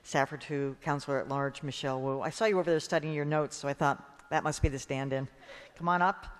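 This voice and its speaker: A man's voice: a little high, fairly even intonation, a little fast, clean tone. A man acting as master of ceremonies, informally announcing presenters and honorees in a reverberant hall. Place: large indoor event hall. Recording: echoey hall with long reverb.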